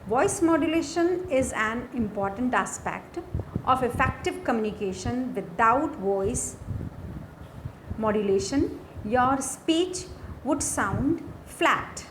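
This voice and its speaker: Female lecturer, Indian accent, fairly high voice, very unhurried lesson narration in short phrases. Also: animated. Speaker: English lecturer. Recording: faint background noise.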